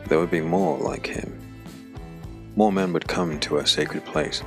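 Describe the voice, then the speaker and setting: A man narrating a dark fantasy audiobook drama in measured, weighted phrases. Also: medium pitch, big pitch swings, gravelly. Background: background music.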